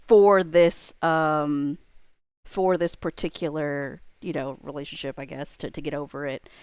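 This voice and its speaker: A woman with a slightly low voice hosts a casual livestream. She rambles as she thinks aloud, with a playful streak. The sound is dry, with very little room in it. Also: clean tone, dry recording. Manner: playful, quick to laugh. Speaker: livestreamer and host reading chat.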